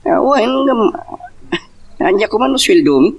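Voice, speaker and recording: A man with an Ilocano accent and a husky voice acting a nosy, teasing friend in an audio drama. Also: sits high, very animated, unhurried, dull audio, heavily acted.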